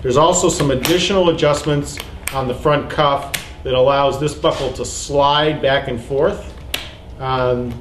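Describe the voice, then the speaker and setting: A man's voice: medium pitch, fairly even intonation, a little slow. A man in his forties, a shop owner, enthusiastically explaining a product's features in a review. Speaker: shop owner presenting gear. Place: ski boot shop, indoors. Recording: echoey shop room, faint hiss.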